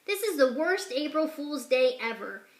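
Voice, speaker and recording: Female narrator for a kids' picture-book read-aloud, high and bright, lively character voices, roomy echo. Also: moderate pace. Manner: expressive, shifts pitch and loudness for each character. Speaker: teacher reading aloud.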